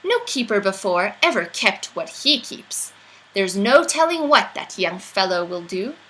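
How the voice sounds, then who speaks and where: A woman's voice: mid-range voice, very animated, a little slow, a bit bright, low-quality recording. Woman voicing awestruck, exclaiming storybook townsfolk. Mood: amazed admiration. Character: amazed, admiring townspeople praising a young zookeeper. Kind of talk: storybook read-aloud.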